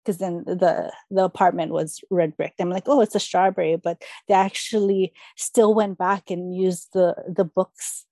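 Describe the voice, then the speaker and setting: Female guest for a casual book podcast, warm dark tone, close dry sound, conversational storyteller. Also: fairly low pitch, fairly even intonation, moderate pace, few pauses, no room sound. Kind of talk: casual chat.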